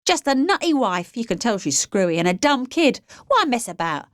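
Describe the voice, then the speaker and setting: A woman's voice: a little high, big pitch swings, normal speed, dry recording, clean studio sound, voice pushed up. A woman voicing a small, cruel young male thug who speaks in a menacing staccato in an audiobook. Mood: scornful. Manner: staccato, sneering. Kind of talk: audiobook character voice.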